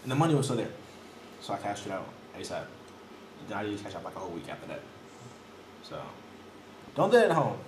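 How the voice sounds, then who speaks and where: A man's voice: on the low side, very animated, fast talker, pauses often. A man of nineteen co-hosting a podcast, chatting loosely in slang and laughing often. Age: young adult. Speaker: podcast co-host. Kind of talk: casual chat. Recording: echoey room with background noise.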